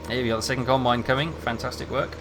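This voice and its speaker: A man's voice: medium pitch, fast talker, noisy background. A man who grew up in England chatting casually with viewers while he streams a game. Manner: relaxed, chatty, answers chat while playing.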